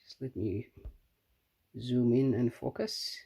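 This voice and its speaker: A man giving a casual, unscripted hands-on product review in a halting, matter-of-fact way. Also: mid-range voice, steady tone, gravelly, mellow, breathy edge.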